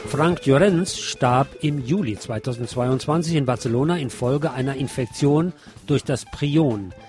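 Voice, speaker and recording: A man's voice: mid-range voice, measured pace, darkish tone, soft background music. A man hosting a radio news programme, reading reports and adding his own commentary. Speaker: radio host.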